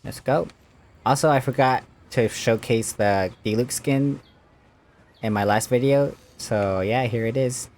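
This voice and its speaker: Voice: mid-range voice, measured pace, darkish tone, slight background noise. A video game streamer giving casual, informal gameplay commentary, with reactive asides and dialogue choices read aloud.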